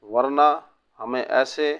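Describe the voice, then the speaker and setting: Male cleric delivering religious rulings, medium pitch, instructive and measured, on a dull lo-fi recording. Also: fairly even intonation, smooth. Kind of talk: religious sermon answering a question on Islamic rulings.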